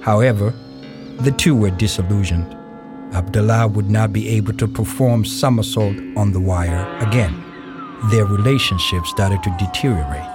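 A man reading scripted narration for an audio play in a measured storytelling way. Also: on the low side. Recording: music behind the voice. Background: music.

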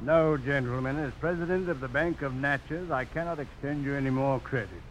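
An actor in a radio drama playing an unbending bank president and judge who talks in a formal, measured way. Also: male voice, mid-range voice, over music, close to an everyday voice, rough recording. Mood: coolly firm. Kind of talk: 1950s radio drama acting. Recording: hissy old radio broadcast.

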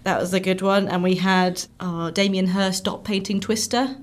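A woman's voice: mid-range voice, fairly even intonation, normal speed. A woman in her late twenties chatting casually as an interview guest, talking about herself in a rambling, self-deprecating way. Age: late 20s. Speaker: interview guest, musical comedian. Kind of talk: casual chat.

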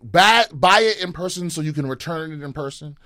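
A man's voice: on the high side, brisk, no room sound. Ranting profane man, dry close sound. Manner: profane, animated, repeats himself. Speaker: comedian podcast host. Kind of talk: casual chat, ranting.

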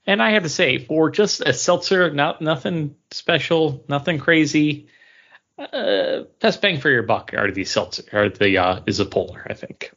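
A man with a slightly high voice chats casually as a podcast co-host. He rambles playfully from point to point. The audio is a bit dull and lo-fi. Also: animated, measured pace, a bit bright. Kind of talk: casual chat.

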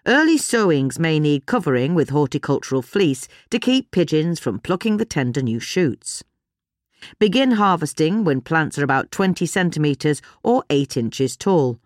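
A woman's voice: sits low, big pitch swings, measured pace, dry recording, clean studio sound. A woman with a British accent reading a scripted article as a narrator.

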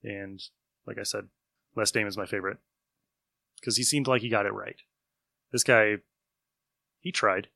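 A man's voice: on the low side, steady tone, measured pace, vocal fry, pauses often, pushed and bright, no room sound, clean studio sound. A man hosting a podcast, chatting casually and informally about his opinions. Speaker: podcast host. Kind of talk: casual discussion.

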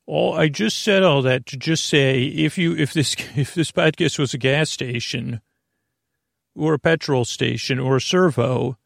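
A creaky, gravelly man rambles through a bedtime podcast monologue, wandering off on tangents and padding every thought with filler words. The recording is close and dry, with no room sound. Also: medium pitch, unhurried, no echo. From United States. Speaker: podcast host. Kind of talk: meandering sleep podcast monologue.

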